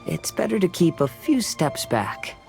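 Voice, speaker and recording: A calm, wise young man in a game. He reassures the others gently, with a fairly lively pitch. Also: unhurried, creaky, over music, heavily acted. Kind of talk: game dialogue.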